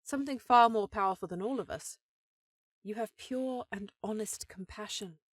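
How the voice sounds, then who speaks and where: A woman's voice: medium pitch, normal speed, no room sound, lightly acted. A woman playing a powerful elven sorceress in a fantasy audio drama, an encouraging sister who talks in an earnest, affectionate way.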